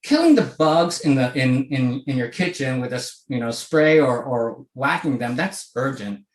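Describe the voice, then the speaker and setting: A man's voice: medium pitch, animated, normal speed, roomy sound, poor audio. A man in his sixties from Hong Kong giving a conversational talk to an online audience, often checking in with "okay?". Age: 60s. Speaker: speaker. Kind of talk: presentation to a virtual audience. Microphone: video call.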